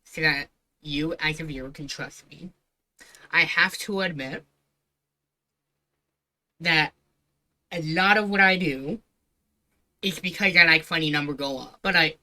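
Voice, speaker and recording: A woman's voice: deep voice, unhurried, long pauses, sharp tone, low-quality recording. A woman streamer giving casual reaction commentary, giggly and sarcastic, with blunt swearing.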